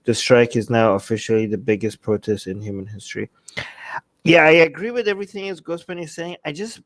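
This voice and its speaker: A man from Iran co-hosting a political talk show, speaking casually and haltingly, repeating phrases to make his point. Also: mid-range voice, dry recording. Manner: halting, searching for words, repeats phrases for emphasis. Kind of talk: casual, opinionated discussion.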